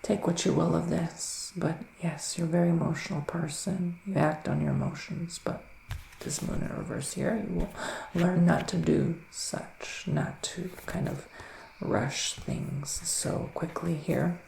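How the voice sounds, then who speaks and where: Male ASMR psychic witch: hushed, often whispered, creaky low-energy delivery, very unhurried, intimate tarot-reading style. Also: steady tone, roomy sound, slight background noise, rough recording. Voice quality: soft, breathy, often dropping to a whisper. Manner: slow, deliberate, pausing, soothing delivery. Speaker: ASMR performer playing a psychic tarot reader.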